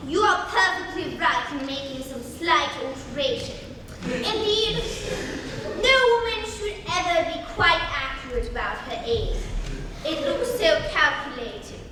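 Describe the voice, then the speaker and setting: A young woman playing a haughty, imperious older aristocratic aunt in a stage comedy reading, with grand, drawn-out theatrical emphasis. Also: high voice, sing-song. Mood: condescending. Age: young adult. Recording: echoey noisy hall from far off.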